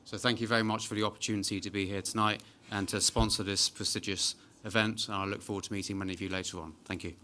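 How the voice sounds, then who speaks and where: A man's voice: on the low side, little pitch movement, fast talker, hardly any pauses, background noise. A man making brief, self-deprecating sponsor remarks at a formal reception. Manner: self-deprecating, lightly joking. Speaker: corporate sponsor executive.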